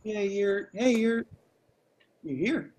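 A man playing an awkward, anxious young slacker musician in an amateur script reading, with a halting, stammering delivery. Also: high-pitched, animated, darkish tone, full character voice. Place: remote video call.

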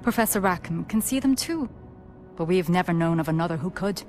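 Composed, low-pitched female wizard professor, acted by a woman. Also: expressive, a little fast, a little mellow, faint music behind, close to an everyday voice. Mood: gracious. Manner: formal, composed. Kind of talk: dramatic game cutscene dialogue.

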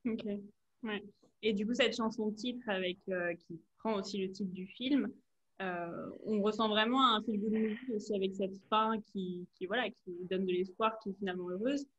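A woman's voice: medium pitch, moderate pace, slight background noise. A woman hosting a Q&A over a video call, asking long, winding, hesitant questions in a conversational style, with slightly dull sound. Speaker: interviewer, Q&A host.

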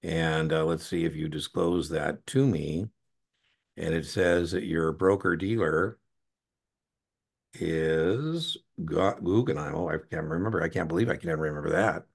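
A middle-aged American man with a gravelly voice tutoring one-on-one over a video call, informal, encouraging and given to tangents. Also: very deep voice, measured pace. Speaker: exam tutor. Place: indoor room on a video call.

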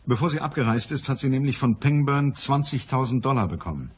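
A man's voice: mid-range voice, expressive, fairly quick, slight background noise, close to an everyday voice. An actor playing a shrewd, businesslike male private detective in a radio crime play.

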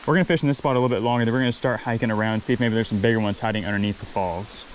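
A man hosting a fishing vlog outdoors by a waterfall creek, chatty and excited, laughing often as he talks from a head-mounted camera. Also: fairly low pitch, racing, a little hiss or hum, poor audio. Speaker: fishing channel host. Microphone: head-mounted camera mic.

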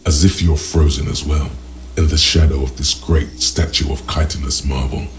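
Game narrator: brooding inner voice, rock-bottom gravelly pitch, deliberate, music underneath. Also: male voice, steady tone, slight background noise. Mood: hushed, awed. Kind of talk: scripted second-person game narration.

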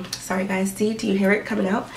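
A woman's voice: mid-range voice, level tone, normal speed, creaky, a little hiss or hum. Stuffy-voiced young woman vlogging in her bedroom. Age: young adult. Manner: chatty, upbeat, lots of likes and ums. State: stuffy and sniffling from allergies. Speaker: beauty and fashion vlogger. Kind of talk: casual what's-in-my-bag chat. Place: bedroom indoors. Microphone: camera in front at desk distance. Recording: roomy bedroom echo, mic a bit far.

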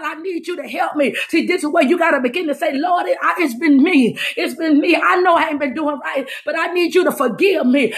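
A woman preaches and prays in a charismatic style. She is urgent and pleading, repeating her phrases and often shouting her exhortations. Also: high-pitched. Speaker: preacher leading prayer. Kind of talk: fervent charismatic prayer and exhortation.

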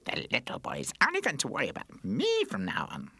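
A man voicing a spiteful baby doll girl with a shrill, shrieking baby voice. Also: huge pitch swings, fairly quick, creaky, bright, forceful tone, breathy edge, no echo, full character voice. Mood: shrieking gloating.

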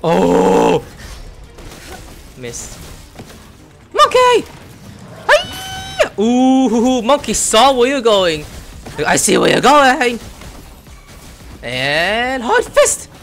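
Excitable young man gaming commentary. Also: very high-pitched, extremely slow, gravelly, pauses often, faint music behind. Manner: excitable, swears and shouts at enemies. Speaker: gaming streamer. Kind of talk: casual gameplay commentary.

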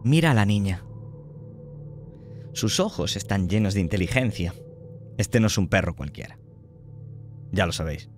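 A man running a tabletop horror game as game master, laying out options in a measured way and checking in often. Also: fairly low pitch, expressive, faint music behind.

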